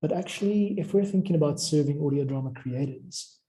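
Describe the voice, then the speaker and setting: Man with a very mellow, soft-edged voice. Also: a little high, fairly quick. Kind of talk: informal chat about craft.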